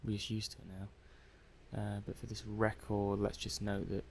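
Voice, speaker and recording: Guy playing a mild lab tech testing robots, asmr roleplay, lowish flat voice, mumbles hesitantly to himself. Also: unhurried, a little hiss or hum, lightly acted. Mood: matter-of-fact. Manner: hesitant, muttering to himself, matter-of-fact. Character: mild, methodical male robot-testing technician. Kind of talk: soft-paced ASMR roleplay monologue.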